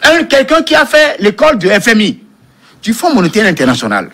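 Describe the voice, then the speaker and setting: Animated, husky man arguing politics. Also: high voice, brisk. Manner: emphatic, repeats words for effect. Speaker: political commentator, panel guest.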